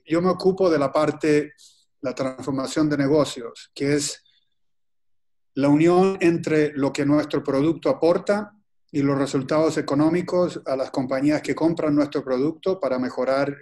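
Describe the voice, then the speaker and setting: A man from Chile giving a webinar presentation over a video call, speaking in a halting, deliberate way with frequent pauses and restarts. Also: fairly high pitch, darkish tone. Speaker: guest presenter.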